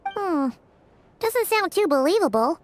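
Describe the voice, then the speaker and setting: A woman voicing a small, excitable, nosy fairy companion with a childlike manner in a video game. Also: extremely high pitch, measured pace, full character voice. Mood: questioning, chirpy. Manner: refers to self in third person, exclamatory.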